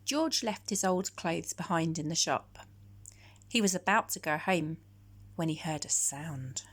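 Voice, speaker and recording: A woman storyteller narrating a children's picture book in a measured, expressive way. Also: deep voice.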